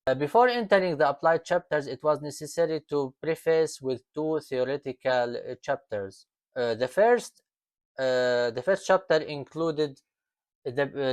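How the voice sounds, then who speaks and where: An author whose first language is Arabic, presenting his book in a measured, explanatory talk in English, ticking off lists slowly with falling endings. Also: on the high side, no room sound. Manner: deliberate, lists items slowly with falling ends.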